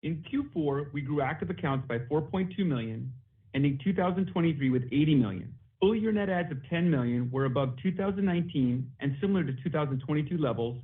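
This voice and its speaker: A male finance chief reading a scripted financial report on an earnings call. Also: on the high side. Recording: narrow phone-like conference line.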